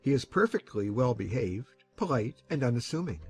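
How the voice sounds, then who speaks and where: A sensible middle-aged uncle, voiced by a man, husky in tone and lively in pitch, with a measured, mildly wry delivery. Faint music plays under this audiobook drama. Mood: musing.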